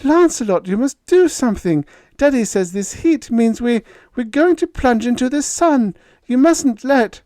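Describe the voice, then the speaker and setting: A man voicing a frightened, high-strung young woman who pleads for help. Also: lively intonation, measured pace, mellow, no echo, clean studio sound. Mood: fearful.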